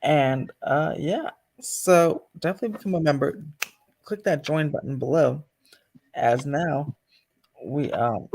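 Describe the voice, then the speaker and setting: A man's voice: a little high, unhurried, vocal fry, darkish tone, breathy edge. A man hosting a casual livestream, chatting off the cuff and addressing viewers. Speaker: channel host.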